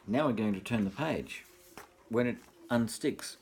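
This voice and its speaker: An older man reading a children's story as a grandfather, expressive and talking directly to young children. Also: medium pitch, a little slow, a little hiss or hum.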